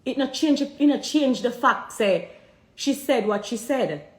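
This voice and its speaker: A woman's voice: on the high side, lively intonation, a little slow, roomy sound, poor audio. A woman from Jamaica with a Jamaican accent, talking straight to her viewers and pressing them with repeated rhetorical questions. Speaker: vlogger addressing viewers. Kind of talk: direct-to-camera commentary.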